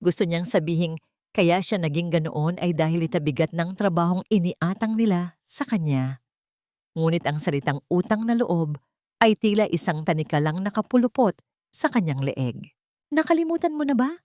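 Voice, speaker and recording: Woman, lower-pitched, dramatic scripted narration, audio story, dry close sound. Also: normal speed, a little mellow, dry recording.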